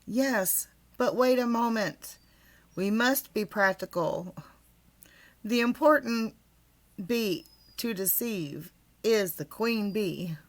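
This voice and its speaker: Storybook role: small self-important boy bear, earnest and muddled, pitched up by a woman reader, deliberate delivery. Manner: deliberate, earnest, slightly pompous.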